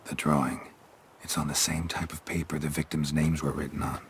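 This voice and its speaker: A man's voice: a bass voice, level tone, fairly quick. A man with an American accent playing a stoic, middle-aged monster hunter in a video game, speaking in terse, clipped, world-weary phrases. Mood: guarded. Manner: terse, clipped, drops sentence subjects. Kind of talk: video game dialogue acting. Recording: clear background noise, ambience.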